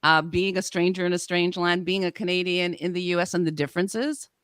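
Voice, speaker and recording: A woman's voice: fairly low pitch, steady tone, a little fast, a bit bright, clean tone, no room sound, clean studio sound. A woman co-hosting a casual talk show, asking engaged, interested questions. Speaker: co-host.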